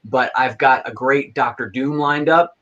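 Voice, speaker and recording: A man's voice: mid-range voice, fairly even intonation, measured pace, fairly bright tone, highs cut off. A man talking in a casual, conversational style as part of a group chat.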